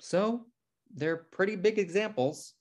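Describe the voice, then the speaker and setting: A man's voice: high-pitched, a little slow. A man playing an uptight, exasperated twin brother, indignant and earnest, in a sitcom table read on a video call. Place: indoor room at home on video call.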